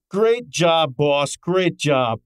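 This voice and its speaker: A man plays a cheeky, loyal sidekick in a radio detective show. The voice is fairly high for a man, slangy and wisecracking, taking its time in a dry studio sound. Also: no room sound. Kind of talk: old-time radio detective drama acting.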